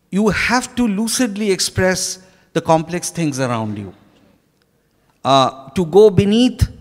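A middle-aged Indian-accented man gives reflective answers on stage into a handheld mic. His voice sits fairly high. The hall adds a long reverb to his halting, emphatic phrases. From Kolkata, India. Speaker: author being interviewed. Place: large hotel ballroom stage. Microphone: handheld microphone.

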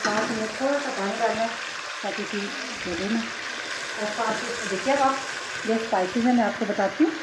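Middle-aged lady talking through her cooking, chatty, roomy kitchen, food sizzling loud behind her. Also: a little high, moderate pace. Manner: chatty, explains step by step with frequent asides. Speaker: cooking vlogger. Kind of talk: casual home cooking tutorial. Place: home kitchen at the stove. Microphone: phone camera held over the pot. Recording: echoey kitchen with cooking noise. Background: frying and sizzling.

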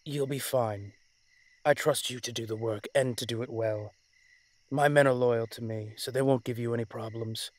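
A man acts out a male dragon-shifter crime boss in an audio roleplay. His medium-pitched voice is authoritative and measured. He sounds weary yet firmly in command, delivering a dramatic monologue. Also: fairly even intonation, no room sound, close to an everyday voice. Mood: grave, commanding.

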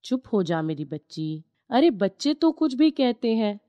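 Village mother for cartoon, female actor: soothing, worried, unhurried and soft. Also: fairly high pitch, big pitch swings, no room sound. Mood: consoling. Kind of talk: Hindi cartoon story acting.

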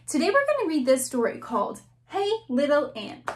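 A woman's voice: sits high, wildly swooping pitch, moderate pace, slight background noise. A young woman teacher in her twenties reading a picture book aloud to children, gasping and asking them questions. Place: small classroom-style room indoors.

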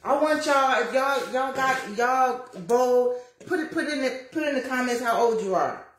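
Woman in her fifties, lively and preachy livestream chat, phone mic at arm's length, echoey home kitchen. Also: sits high, measured pace, slight background noise. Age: 50s. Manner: lively, preachy and chatty, addresses viewers as y'all. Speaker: lifestyle vlogger. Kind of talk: casual livestream chat while cooking. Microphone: phone camera at arm's length. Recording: roomy kitchen echo.

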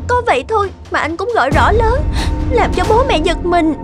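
A woman's voice: very high voice, expressive, normal speed. A woman playing a meek, eager-to-please young newlywed in a cartoon, speaking politely and hesitantly. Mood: pained. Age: young adult. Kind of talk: cartoon dialogue. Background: background music.

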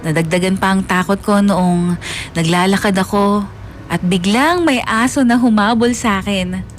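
A woman's voice: medium pitch, normal speed, close to an everyday voice. A woman playing a lovestruck narrator who recounts her school crush earnestly and wistfully, as a radio drama confession. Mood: rueful. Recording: music under the voice.

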